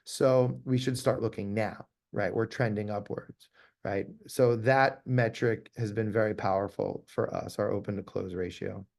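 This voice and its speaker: A man's voice: lowish voice, steady tone, measured pace, creaky, darkish tone. A man speaking conversationally as an interviewed law firm owner, emphatic and often repeating his key phrases.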